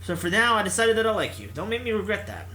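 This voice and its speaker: A man's voice: high voice, sing-song, fast, sharp tone, a little hiss or hum, pitched above the performer's own voice, heavily acted. A man reading the part of a brusque, demanding female esports team captain in a visual novel, curt and commanding. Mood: grudging.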